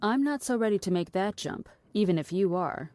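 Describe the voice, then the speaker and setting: A woman playing a skeptical, needling philosophical character in an audio drama, measured and condescending in delivery. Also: medium pitch, expressive, darkish tone, dry recording, lightly acted. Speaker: actor.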